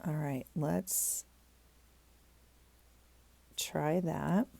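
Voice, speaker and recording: A woman's voice: deep voice, steady tone, drawn-out words, vocal fry, warm tone. A middle-aged woman with a stuffy nose, chatting casually as she works on a craft project, thinking aloud with many ums and restarts. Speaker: craft vlogger.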